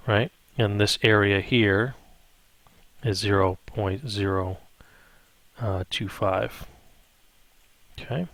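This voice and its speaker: A man with a fairly low voice leads an informal step-by-step tutorial. He thinks aloud as he works and keeps checking in with little questions. The sound is dry, with very little room on it. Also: fairly bright tone, dry recording. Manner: thinks aloud, frequent check-in tags like "right?". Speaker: math instructor. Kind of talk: improvised step-by-step spreadsheet tutorial.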